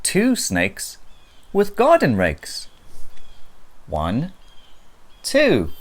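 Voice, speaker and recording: A children's picture book narrator reads a counting story aloud at a very slow, deliberate pace. The intonation swoops widely up and down, giving each short phrase and number its own shape. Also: medium pitch, huge pitch swings.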